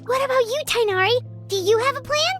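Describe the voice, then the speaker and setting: A woman voicing a small, curious, excitable fairy girl companion in a video game, with a squeaky childlike voice and an inquisitive, exclamatory manner. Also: fairly quick, soft background music.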